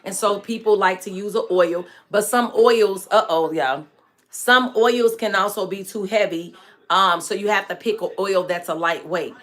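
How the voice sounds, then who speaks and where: Chatty woman host, slightly hoarse. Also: medium pitch, moderate pace, fairly bright tone. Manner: chatty, laughs often, teasing and frank. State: hoarse and dry voice from staying up late. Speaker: natural hair care host and product maker. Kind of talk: live-stream chat answering viewer comments.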